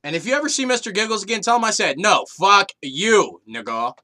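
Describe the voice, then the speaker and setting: A man's voice: high voice, expressive, fairly quick, bright, forceful tone. A man in casual, joking group banter, talking in a crude, teasing, profane way. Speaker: participant in an online group hangout.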